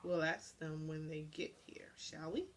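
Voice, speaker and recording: A woman's voice: deep voice, unhurried, pitched below the performer's own voice. A woman voicing a sly, hungry male fox villain who mutters insinuatingly in a storybook tale. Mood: sly menace.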